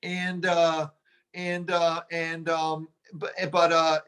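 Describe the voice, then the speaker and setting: A man speaking on a webinar panel about careers in diplomacy, halting and often restarting his sentences. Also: on the high side, monotone, fairly bright tone.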